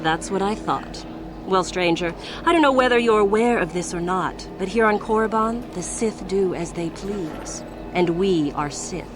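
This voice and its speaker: An actress playing a smug, menacing Sith gang leader in a video game, mock-polite, condescending and deliberate. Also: female voice, medium pitch, background noise, close to an everyday voice. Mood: mocking menace.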